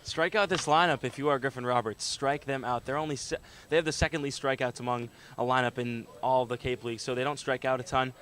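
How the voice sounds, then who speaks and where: A man's voice: mid-range voice, fairly even intonation, fast, fairly bright tone. A man working as a color analyst on a sports radio broadcast, commenting conversationally.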